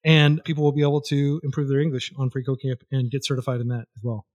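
A man's voice: medium pitch, level tone, quick pace, a little mellow. A man talking casually as a podcast guest, rambling with lots of fillers and restarts.

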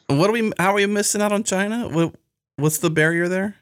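A man's voice: high voice, dry recording, studio quality. A man hosting a podcast in casual conversation, curious and given to rambling asides. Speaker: podcast host.

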